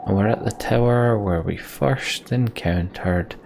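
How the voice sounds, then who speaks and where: A man's voice: on the low side, a little slow, vocal fry, a little mellow, a little hiss or hum. A man getting over a cold, doing casual let's play commentary and reading game narration aloud.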